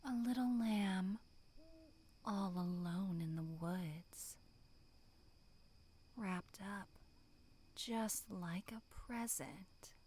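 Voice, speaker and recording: A woman voicing a sinister, playful demonic monster in dark ASMR, breathy, half-whispered, purring and teasing. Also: mid-range voice, extremely slow, pauses often. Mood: hungry, teasing. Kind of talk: dark ASMR horror roleplay.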